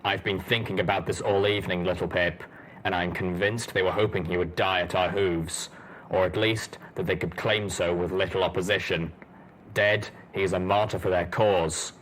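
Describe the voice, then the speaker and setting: Man playing a deep, grave soldier stallion. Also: fairly even intonation, normal speed, a bit bright, a little hiss or hum, low-quality recording. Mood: grim. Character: gruff armored soldier stallion, grave, blunt and shrewd.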